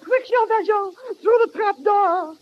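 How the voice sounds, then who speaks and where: Dramatic french lady of the night, super high and drawn out, dull old radio sound. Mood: urgent, exasperated. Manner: theatrical, French phrases, drawn-out delivery. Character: French demi-monde woman hiding a fugitive, urgent. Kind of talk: mock-melodramatic 1940s radio acting. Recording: muffled 1940s radio, music over voice.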